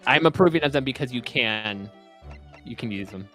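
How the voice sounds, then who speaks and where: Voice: mid-range voice, animated, pushed and bright, soft background music. Chatty co-player explaining game options. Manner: quick, explaining, filler-heavy. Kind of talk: casual let's-play chat.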